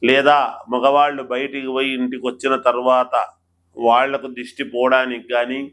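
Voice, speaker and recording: A man speaking as an astrologer, explaining remedies to viewers in a deliberate, instructional way and repeating phrases. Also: mid-range voice, level tone, a bit bright. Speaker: astrologer presenter.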